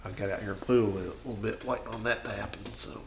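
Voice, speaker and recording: A man's voice: fairly low pitch, fairly even intonation, racing. A man giving a casual hands-on demonstration as a garage host, rambling, thinking aloud and often doubting himself. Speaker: host of a home garage repair channel. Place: home garage. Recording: echoey, noisy garage recording.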